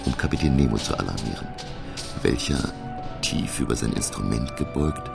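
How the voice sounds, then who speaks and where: An actor playing a professor who recounts his sea adventure in literary, measured radio drama narration. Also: very deep voice, expressive, gravelly, heavily acted. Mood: composed, recounting. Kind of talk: scripted audio drama narration in past tense. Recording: loud music behind the voice.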